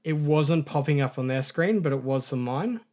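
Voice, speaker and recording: A man's voice: a little high, expressive, moderate pace, darkish tone. A man co-hosting a gaming podcast, rambling through a story in a casual way, correcting himself and laughing at his own mishaps. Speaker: podcast co-host.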